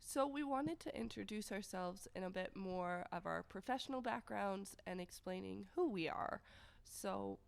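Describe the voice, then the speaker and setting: A woman co-hosting a casual podcast, her voice raspy from being sick, easygoing and laughing often. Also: mid-range voice, lively intonation, moderate pace. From Canada. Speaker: podcast co-host.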